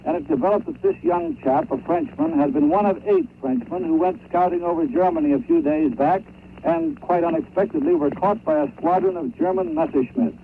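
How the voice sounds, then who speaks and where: A man's voice: high voice, huge pitch swings, brisk, creaky. A man delivering a scripted 1930s war correspondent's report, told like a story, over muffled, noisy shortwave radio.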